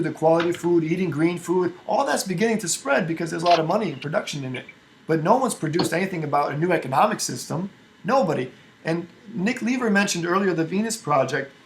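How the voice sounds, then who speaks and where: Man from Albania with a high voice, forceful and argumentative in a group meeting, restarts his sentences a lot. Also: animated, fast talker, a little hiss or hum, rough recording. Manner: emphatic, argumentative, with frequent restarts. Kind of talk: casual group discussion.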